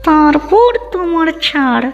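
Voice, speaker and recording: A woman's voice: high voice, animated, slow pace, vocal fry, exaggerated voice. A woman voicing a sly, greedy village aunt who puts on sweetness, theatrically, in a moral-story cartoon. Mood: scheming. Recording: dull audio with music underneath.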